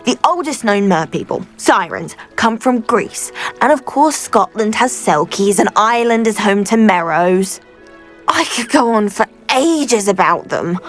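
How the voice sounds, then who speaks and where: A woman playing an eager, idealistic, effusive teenage schoolgirl witch with a British accent in a video game. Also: a little high. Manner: effusive, earnest, well-spoken. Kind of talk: video game dialogue acting.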